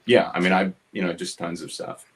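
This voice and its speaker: A man in his seventies from New York City with a New York accent, talking casually about his craft in his home studio, quick and succinct, hitting consonants hard. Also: low voice, steady tone. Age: 70s. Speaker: guest artist. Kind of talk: casual studio chat while drawing.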